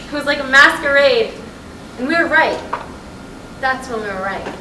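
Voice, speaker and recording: Woman acting a high-voiced, restless wife, echoey. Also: animated, normal speed. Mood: earnest, wistful. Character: restless, fed-up, blunt middle-aged wife. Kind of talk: staged reading of a stage play. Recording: echoey room from far off, noisy.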